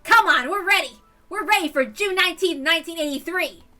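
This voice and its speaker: Woman playing a fired-up, high bright young club leader. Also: fairly quick, soft background music. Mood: rousing.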